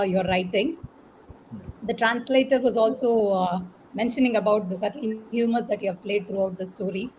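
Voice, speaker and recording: Indian woman guest, fairly high voice. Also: a little mellow, a little hiss or hum, rough recording. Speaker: audio drama director, guest. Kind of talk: panel discussion, recounting work.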